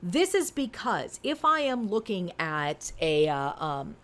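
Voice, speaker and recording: Female instructor, forties, highly animated delivery, informal and emphatic, walking a learner through material. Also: takes it slowly. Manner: conversational, emphatic, talks to the learner with rhetorical questions. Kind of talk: informal screen-recorded lesson, explaining step by step.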